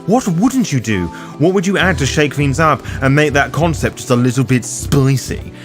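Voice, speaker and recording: A man's voice: medium pitch, animated, moderate pace. A man narrating a scripted roleplaying guide as its host, theatrical and formally worded, with playful asides. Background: background music.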